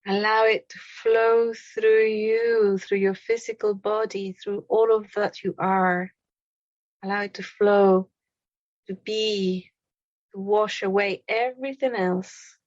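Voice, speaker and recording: Slow-paced woman guiding a meditation. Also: mid-range voice, steady tone, no room sound, lo-fi sound. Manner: gentle, deliberate short phrases with long pauses. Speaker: meditation guide. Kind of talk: guided meditation, spoken slowly.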